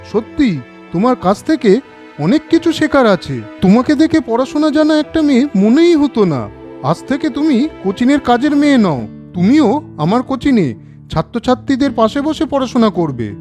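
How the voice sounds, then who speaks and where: A man's voice: extremely high pitch, darkish tone. A man voicing a kind, earnest young coaching teacher in a storybook cartoon.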